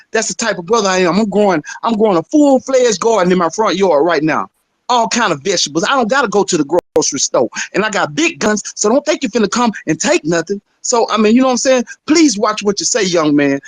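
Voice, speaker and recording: A man's voice: high-pitched, no room sound. A man giving an emphatic, streetwise rebuttal in an online audio room, dropping "bro" and "man" often. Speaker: caller in an audio chat room. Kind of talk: passionate rebuttal.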